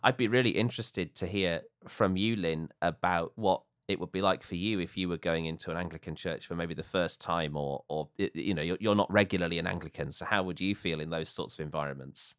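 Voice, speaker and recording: A man hosting a conversation, asking long questions and explaining in a measured way. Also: low-pitched, fairly bright tone, smooth, dry recording, clean studio sound. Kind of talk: conversational discussion.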